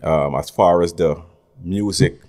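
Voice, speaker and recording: A Guyanese man with a very low voice talks easily about his life, filling pauses with ums and restarts. He speaks from a podcast studio. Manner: relaxed, rambling with many fillers. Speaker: guest, recording artist. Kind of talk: casual interview.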